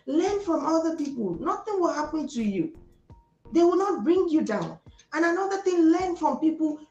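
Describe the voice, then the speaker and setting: A woman's voice: high-pitched, big pitch swings, normal speed, darkish tone, echoey room, highs cut off, soft background music. A woman with a Nigerian Igbo accent, a psychologist speaking as a guest, giving emphatic motivational advice, repeating words for stress and slipping into Pidgin. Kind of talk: motivational conversation.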